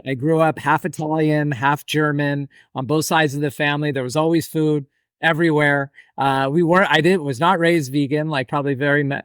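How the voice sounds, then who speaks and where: A man in his forties from the United States, an author and farmer guest on a podcast, telling his story in a relaxed, rambling way. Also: on the high side, smooth, no room sound. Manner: relaxed, storytelling, some restarts. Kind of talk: storytelling in an interview.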